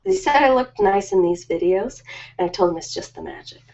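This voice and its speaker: A woman's voice: high-pitched, sing-song, fairly quick, gravelly, darkish tone. A woman chatting casually and informally on a live stream, ad-libbing in a rambling, self-deprecating way. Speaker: live-stream host of ASMR and ritual videos. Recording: slightly dull lo-fi live-stream audio.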